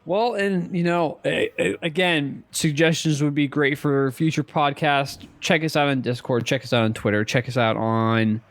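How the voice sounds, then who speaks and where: A man's voice: fairly high pitch, moderate pace, few pauses, a bit bright, no room sound. A man co-hosting a podcast, chatting casually about games in an informal, conversational way. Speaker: podcast co-host. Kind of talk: casual gaming chat.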